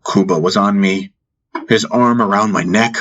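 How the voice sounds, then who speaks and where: A man's voice: fairly low pitch, fairly even intonation, normal speed, a bit bright, highs cut off, a little hiss or hum. A man narrating a fantasy audiobook in the first person, with intense, solemn, dramatic storytelling. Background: music bed.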